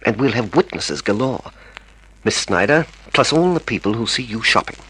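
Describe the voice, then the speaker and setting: A man's voice: medium pitch, very animated, moderate pace, a bit bright, a little hiss or hum, rough recording. A man acting in a radio drama as a cold, scheming murderer, methodical and commanding. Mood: calculating, smug. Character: cold, calculating murderous male dentist, secret lover, scheming.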